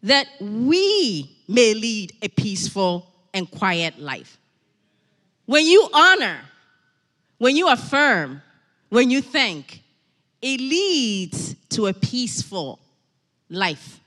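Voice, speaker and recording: Animated woman preaching in a reverberant hall. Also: on the high side, slow, long pauses. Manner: animated, emphatic, repeats key phrases. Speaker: guest preacher. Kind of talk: sermon with personal asides.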